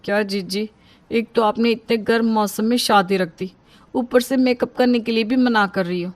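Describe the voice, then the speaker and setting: A woman's voice: a little high, fairly even intonation, moderate pace, no room sound, close to an everyday voice. A woman voicing a vain, fussy younger woman who protests in a cartoon story. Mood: complaining.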